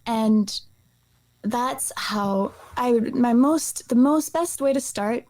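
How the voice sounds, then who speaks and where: A woman's voice: fairly high pitch, takes it slowly, darkish tone. A woman from Iceland speaking as an interview guest, explaining things in a casual, conversational way. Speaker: guest, nutrition practitioner.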